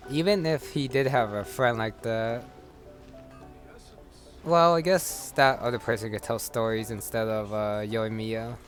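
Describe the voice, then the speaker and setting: A man playing an easygoing, thoughtful traveler in a video game, speaking casually and haltingly. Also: mid-range voice, steady tone, soft background music, natural voice. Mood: musing.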